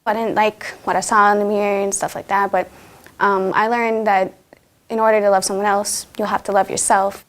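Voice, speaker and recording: A woman's voice: mid-range voice, flat delivery, brisk. A woman student speaking plainly and reflectively in a documentary interview, with some fillers.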